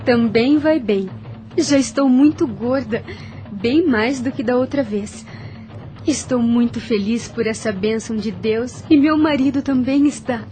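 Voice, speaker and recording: A woman playing a gentle, devoted young plantation wife in an audio drama, sweet, earnest and emotive. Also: fairly high pitch, a little slow, over music, close to an everyday voice. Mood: affectionate.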